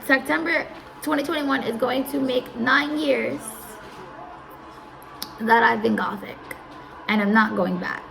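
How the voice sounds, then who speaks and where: A young woman in her early twenties talks through advice in a loose, rambling way. Her voice sits a little high, with a heavy gravelly rasp. She drifts off track and circles back to the same point. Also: measured pace, long pauses, rough recording. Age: early 20s. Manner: rambling, easily distracted, repeats herself. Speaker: audio live streamer. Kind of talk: casual advice talk.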